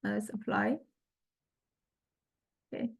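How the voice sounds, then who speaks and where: A woman lecturer giving an informal software demonstration, explaining conversationally and often hesitating as she searches for words. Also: medium pitch, steady tone, takes it slowly, creaky, husky. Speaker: university music technology lecturer.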